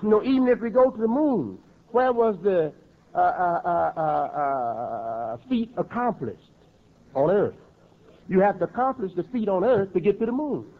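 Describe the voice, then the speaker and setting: A man's voice: high-pitched, sing-song, moderate pace, phone-quality sound. A man preaching a sermon in an emphatic way, repeating and rephrasing his words as he builds each point.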